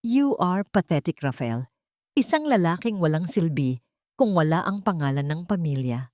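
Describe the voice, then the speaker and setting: A woman's voice: low-pitched, lively intonation, normal speed, a little mellow, dry recording, studio quality. A woman with a Filipino accent voicing a cold, scornful young socialite with a cutting manner in an audio story. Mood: cold contempt. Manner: contemptuous, cutting.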